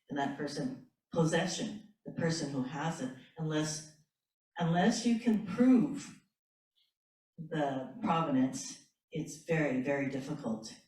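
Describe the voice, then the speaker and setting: A woman's voice: low voice, darkish tone. A woman panelist earnestly telling how her family's heirlooms were recovered, often repeating herself. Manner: earnest, halting, repeats words. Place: large lecture hall. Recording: distant voice in a very echoey hall.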